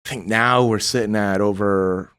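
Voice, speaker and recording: A man's voice: on the low side, fairly even intonation, moderate pace, a bit bright, clear, resonant tone, no echo, clean studio sound. A man who cofounded a youth sports league and coaches in it, telling stories in casual podcast talk, rambling and full of "like" fillers. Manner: rambling, heavy on "like" fillers, acts out what he told players.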